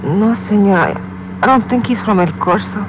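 An actress playing an injured, frightened young woman with a Mexican accent in a 1950s radio drama, speaking in a halting, pained, weary way. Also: mid-range voice, natural voice. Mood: shaken, halting. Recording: muffled old radio recording, loud engine noise.

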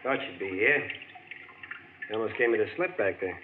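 A man's voice: on the low side, expressive, normal speed, natural voice. An actor playing a tough-talking, wisecracking man pursuing a fugitive in a 1940s radio drama. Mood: coaxing. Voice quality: hard-boiled, wisecracking. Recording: tinny old radio broadcast, faint hiss.

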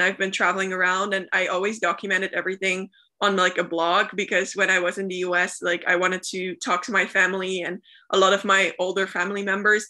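A young woman from Belgium's Flemish region, a native Dutch speaker, answers interview questions in a relaxed, chatty way. Her voice is bright and clear, with a middling pitch. She leans on "like" as a filler while she talks. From Belgium, Flemish part. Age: 20s. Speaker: guest, travel content creator.

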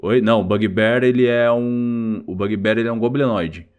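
A man's voice: mid-range voice, steady tone, normal speed, clear, resonant tone. A man with a Brazilian accent hosting a podcast in an informal, slangy, conversational way. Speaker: podcast host. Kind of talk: casual chat.

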